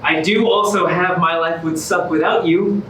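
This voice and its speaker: A man's voice: sits high, fairly even intonation, fairly bright tone, a little hiss or hum. A man playing a gloomy, defensive boyfriend with a morbid streak, theatrical in a staged musical comedy reading. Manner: theatrical, halting when worked up. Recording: echoey room, distant mic, music behind.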